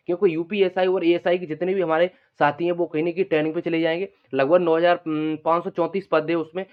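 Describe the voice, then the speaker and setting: Male video host, slightly high voice, rapid informal delivery, repetitive explaining. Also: highs cut off. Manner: repetitive, explanatory, frequent check-in tags. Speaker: exam-news video host, coaching aspirants. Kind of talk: informal unscripted exam update monologue.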